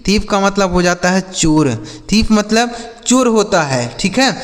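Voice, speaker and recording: A man's voice: high-pitched, expressive, a little slow, long reverb tail. A man teaching English vocabulary, spelling each word letter by letter and repeating its meaning several times. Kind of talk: slow spelled-out vocabulary lesson.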